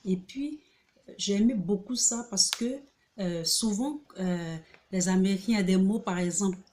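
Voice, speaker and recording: A woman hosting a casual video tutorial, talking hesitantly with many filler pauses and restarts, often checking in with the listener. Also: medium pitch, warm tone, slight background noise. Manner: repeats key points, checks in with "ok?". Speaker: video host presenting a pronunciation book. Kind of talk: casual spoken tutorial to camera.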